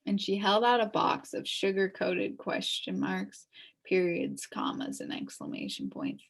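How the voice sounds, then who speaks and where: A woman's voice: mid-range voice, wildly swooping pitch, unhurried, creaky, husky. A woman reading a children's story aloud expressively as the narrator. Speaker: storybook reader.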